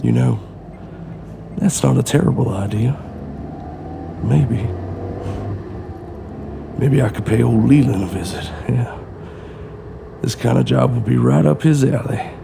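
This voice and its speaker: A man's voice: medium pitch, unhurried, creaky, long pauses, noisy background. A man playing a bitter, desperate ex-convict father who talks in terse, blunt lines in an audio drama. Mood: brooding. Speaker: former criminal and father. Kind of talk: amateur audio drama acting.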